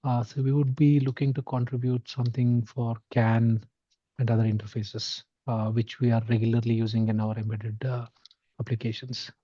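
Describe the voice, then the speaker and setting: A man's voice: medium pitch, little pitch movement, moderate pace. A man introducing himself on an online working-group call, speaking hesitantly with many fillers. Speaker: engineer joining a working group. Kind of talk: casual meeting talk. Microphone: video call. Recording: dull lo-fi call audio.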